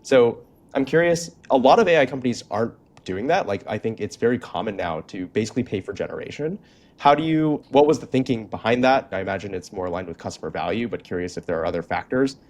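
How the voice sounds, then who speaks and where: A man's voice: on the low side, lively intonation, quick pace, a little mellow. A man hosting an interview podcast, thinking aloud as he frames his questions in an informal, conversational way.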